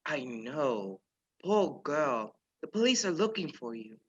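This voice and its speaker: A performer playing a polite, measured male professor who is secretly a menacing spy chief in a radio play. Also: mid-range voice, big pitch swings, breathy edge, exaggerated voice. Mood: probing. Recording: dull lo-fi virtual recording.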